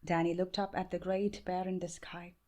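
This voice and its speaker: A woman with an Indian accent reading a children's bedtime story aloud in a measured, expressive way. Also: on the low side. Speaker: storybook reader.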